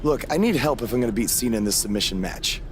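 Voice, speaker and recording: An actor playing an earnest, determined rookie wrestler in conversational video game dialogue. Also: male voice, mid-range voice, animated, fast, slight background noise, close to an everyday voice. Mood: earnest, pleading.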